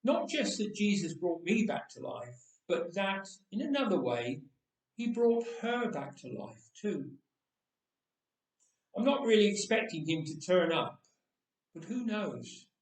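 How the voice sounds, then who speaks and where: Man as young villager back from the dead, chatty, wry understatement, lively intonation, distant mic, echoing church. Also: sits high, unhurried. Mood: wistful. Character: young man of Bible times raised from death, chatty, wry. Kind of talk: dramatic first-person storytelling monologue. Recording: roomy church echo, distant microphone.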